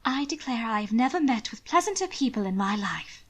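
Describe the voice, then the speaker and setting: A woman voicing a soft-hearted, frank young lady in a period audiobook, earnest and cheerful. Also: a little high, big pitch swings, fairly quick. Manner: bright, earnest, reproving.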